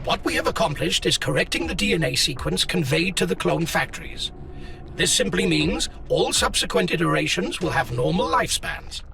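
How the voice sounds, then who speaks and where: A man voicing a dry, formal ship computer in a video game, precise and deadpan in manner. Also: a little high, moderate pace, vocal fry, slight background noise, heavily acted. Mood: deadpan, wry. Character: dry, unflappable male ship computer, formal and wryly helpful. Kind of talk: scripted video game dialogue. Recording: loud music behind the voice.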